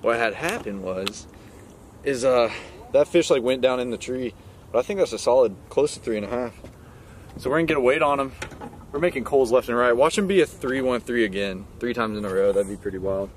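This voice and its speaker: An excitable young man hosts a fishing video from a small boat on an open lake. His voice sits at an ordinary middle pitch, and he laughs easily and ribs his partner in a relaxed, casual way. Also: fairly quick, slight background noise. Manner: excitable, laughing, friendly trash talk. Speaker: fishing vlog host. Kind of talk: casual chat while fishing.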